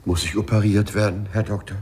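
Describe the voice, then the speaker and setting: An actor playing a timid, fussy middle-aged clerk in a 1950s radio play, polite and hesitant, with a stammer. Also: male voice, fairly low pitch, little pitch movement, brisk, a little mellow, slight background noise, lightly acted. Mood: meek, anxious. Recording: 1950s studio radio recording, music behind.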